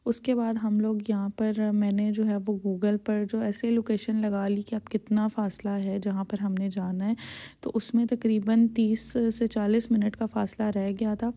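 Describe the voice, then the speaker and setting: A woman's voice: medium pitch, little pitch movement, measured pace, mellow. A young woman with a Pakistani Urdu accent narrating her travel vlog in a chatty, casual way, full of fillers. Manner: chatty, filler-heavy storytelling. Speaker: vlogger.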